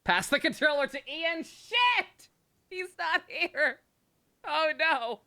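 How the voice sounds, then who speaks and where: A woman's voice: high-pitched, animated, takes it slowly, sharp tone. A woman doing casual, joking live game commentary, sweary and sarcastic. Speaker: game streamer.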